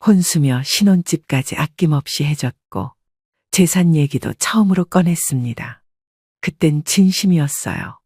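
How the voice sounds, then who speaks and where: An older woman with a husky voice reads a first-person confessional story as scripted narration. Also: sits low, expressive, moderate pace, warm tone, no echo, studio quality.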